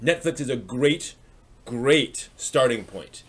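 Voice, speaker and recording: Male streamer, mid-range and lively, casual halting chat with viewers as host. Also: mid-range voice, husky. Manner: conversational, halting, reads out chat comments.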